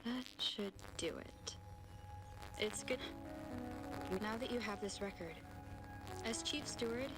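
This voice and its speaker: A woman playing a composed, enigmatic figure in a video game, speaking formally and deliberately. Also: mid-range voice, close to an everyday voice, rough recording. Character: composed, enigmatic woman leaving a recorded memo. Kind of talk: video game story monologue.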